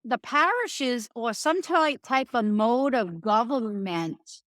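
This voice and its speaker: Chatty New Orleans woman, fairly high. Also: animated, slow, a bit bright, no room sound, clean studio sound. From New Orleans, Louisiana. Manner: folksy, chatty storyteller. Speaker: guest, restaurant owner. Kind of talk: casual interview chat.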